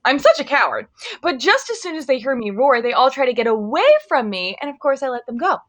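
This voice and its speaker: Woman playing a high-voiced, plaintive cowardly lion. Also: big pitch swings, fairly quick, a bit bright. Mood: sheepish. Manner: plaintive, self-pitying. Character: cowardly, melancholy lion lamenting his lack of courage. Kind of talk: live table read of a children's fantasy story.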